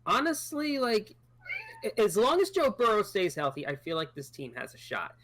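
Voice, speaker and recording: A thirty-year-old podcast co-host in casual sports chat, chatty and trailing off into low asides. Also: expressive, moderate pace, fairly bright tone, dry recording.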